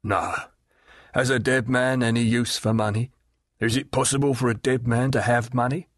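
A gruff, surly old boatman, as a man reads him, blunt and hard in his speech. The voice sits at a middle pitch and is recorded dry and close. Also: steady tone, normal speed, dry recording, natural voice.

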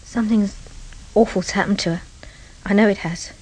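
A woman's voice: mid-range voice, fairly even intonation, moderate pace, a little mellow, husky, natural voice, poor audio. An actress playing an anxious, protective mother in a radio drama, distressed and hesitant. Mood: distraught. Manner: hesitant, emotional, breathless pleading. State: distressed, tearful. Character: anxious, tearful middle-class mother, fretful and protective. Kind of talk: radio crime drama acting.

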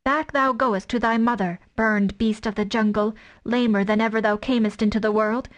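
Woman reader doing a fierce mother wolf, higher pitched, defiant and fiery. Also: fairly even intonation, normal speed, close to an everyday voice. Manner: defiant, high and forceful.

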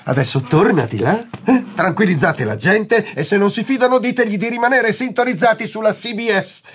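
An actor playing a wry, prank-loving fire chief in an Italian audio drama, with a sarcastic, theatrical delivery. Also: male voice, high voice, lively intonation, brisk, a bit bright, slight background noise. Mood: wry, brisk.

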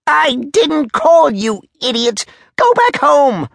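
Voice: high voice, sing-song, takes it slowly, vocal fry, dry recording, studio quality, pitched above the performer's own voice, heavily acted. A performer voicing a blustering, easily enraged military major who barks and roars in a storybook tale. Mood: roaring anger. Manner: barking, roaring, threatening.

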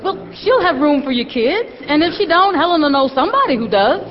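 An actress playing a singing star's anxious, loyal assistant, earnest and placating, in an audio drama. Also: female voice, sits high, big pitch swings, fairly quick, a little hiss or hum. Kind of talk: theatrical audio drama acting. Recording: dull, reverberant stage recording.

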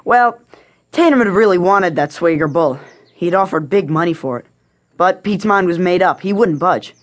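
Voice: mid-range voice, animated, normal speed, close to an everyday voice. An actor plays a young American boy of the Old West, about twelve. He is wary and defiant, talking in plain, rustic country phrases. It is dramatic audio Western acting. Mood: aggrieved, earnest. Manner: rustic folksy speech. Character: wary, defiant twelve-year-old frontier boy, son of jailed man.

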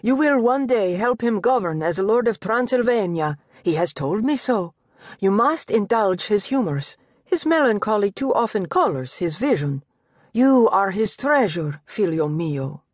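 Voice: medium pitch, big pitch swings, a little slow, no room sound, voice pushed up. A narrator voicing a doting royal mistress who coaxes her son with sweet Italian endearments, in a dry, close sound. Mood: coaxing. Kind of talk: audiobook character voice.

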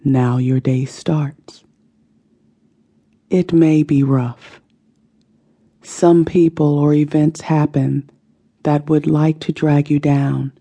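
A woman narrates a guided meditation in a very dark, relaxed voice with a breathy, husky grain. She speaks slowly and deliberately, soothing the listener and letting each phrase trail off softly. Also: rumbling low. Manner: slow, soothing, deliberate delivery with soft phrase endings. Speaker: audiobook narrator.

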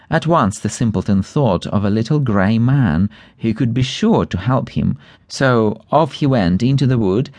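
A man's voice: mid-range voice, a little mellow, no room sound. A man narrating a fairy tale audiobook in a scripted storytelling style.

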